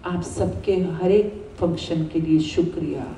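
An Indian-accented woman guides a gratitude meditation in a low, unhurried voice. She repeats soothing affirmations deliberately, leaving space between phrases. The recording has a roomy echo, as if the mic is at some distance. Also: mellow, a little hiss or hum.

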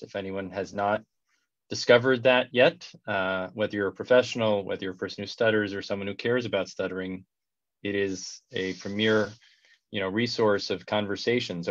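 A man in his thirties hosting an online conversation, warm and effusive, repeating words as he builds his sentences. His voice sits low and reaches the ear dry and a little muffled through a headset on a video call. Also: dry recording. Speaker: host.

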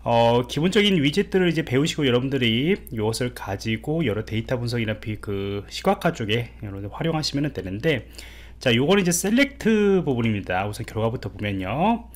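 Male coding tutor, mid pitch, animated intonation, easygoing explainer with fillers. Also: fairly quick. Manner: relaxed explaining with many fillers and rhetorical questions. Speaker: programming instructor. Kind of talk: screen-recorded coding tutorial.

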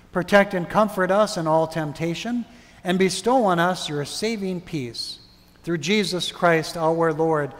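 A middle-aged man leading prayers and a blessing as pastor, reading in a measured, solemn way in a large church. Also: fairly high pitch. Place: large brick church sanctuary. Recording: long church reverb.

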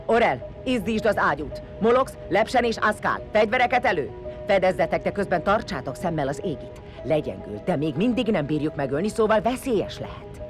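A sneering, high-pitched warrior leader. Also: male voice, sing-song, over music. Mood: commanding. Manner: sneering, foul-mouthed, barking orders. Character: bloodthirsty, vengeful warrior leader, mocking and commanding. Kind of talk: audio drama acting.

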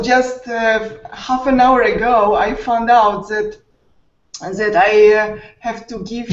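Young woman on a distant webcam. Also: mid-range voice. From Poland. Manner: halting, searching for words. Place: room at home. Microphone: laptop webcam. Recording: roomy lo-fi webcam audio from afar.

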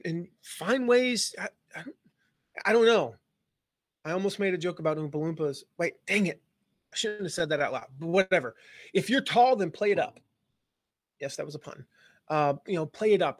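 A man's voice: sits high, a bit bright, no echo, clean studio sound. Rambling, jokey man on a live stream. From Southern United States. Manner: rambling, jokey, many fillers and restarts. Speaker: online business coach. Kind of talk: casual live-stream lesson.

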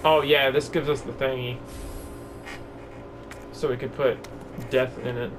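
A man's voice: medium pitch, steady tone, measured pace. A man hosting a casual video game playthrough, chatting in jokey, silly slang and mild mock insults over game ambience. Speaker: gaming channel host, player. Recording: noisy, game sound behind voice. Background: horror video game ambience.

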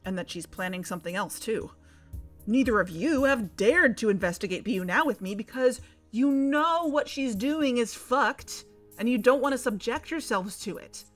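A woman plays an exasperated female investigator in a podcast drama. Her voice sits a little high, and she is blunt, confrontational and emphatic. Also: lively intonation, normal speed, soft background music. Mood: frustrated. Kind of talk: audio fiction podcast acting.

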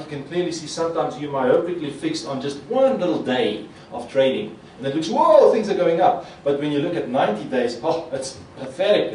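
Middle-aged man, Afrikaans speaker, animated conference talk, roomy hall, voice a little distant. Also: a little high, slight background noise. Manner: animated, gestures a lot, acts out quoted reactions. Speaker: conference presenter. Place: conference hall with projector screen. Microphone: podium mic. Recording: echoey hall, mic a bit far.

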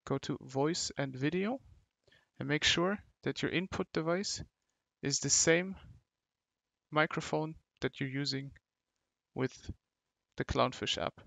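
A man presents a software walkthrough with a gravelly edge to his voice. He gives instructions in short, halting phrases, recorded close and dry as a voice-over. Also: fairly high pitch, no room sound. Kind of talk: step-by-step tutorial narration. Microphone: close mic, screen-recording voice-over.

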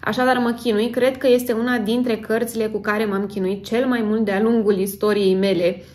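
Vlogger, female, 30s, fairly high voice. Casual, chatty, exclamatory, self-dramatizing talk to camera. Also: level tone, a little mellow. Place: home kitchen, indoors.

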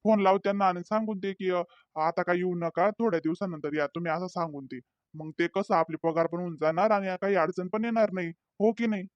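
A man's voice: sits high, steady tone, fairly quick, no echo, highs cut off, natural voice. Man voicing a sly, teasing cartoon husband. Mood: wheedling. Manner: talkative, wheedling, hesitant fillers. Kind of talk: comedy cartoon voice acting.

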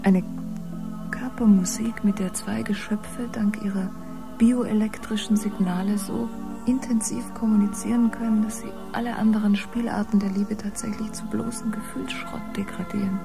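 An actress playing a serene, sensual young musician in a radio drama, speaking in a breathy half-whisper. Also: female voice, mid-range voice, fairly even intonation, normal speed, over music, lightly acted. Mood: sensual, hushed. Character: serene, sensual young biomusician woman teaching love.

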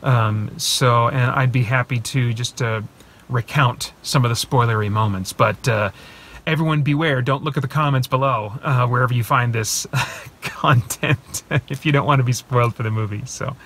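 A man in his thirties talking casually to a phone camera from inside his parked car, thinking aloud with many pauses and restarts. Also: mid-range voice, a bit bright. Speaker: movie reviewer and channel host. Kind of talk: casual spoiler talk to camera. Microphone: phone camera at arm's length.

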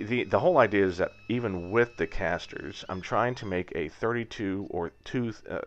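A man in his thirties talks casually and wryly over his footage, drifting into mock rants. His voice sits very low with a gravelly fry. The recording sounds a little dull and lo-fi. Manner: rambling, wry, prone to mock rants. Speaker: aircraft builder vlogger.

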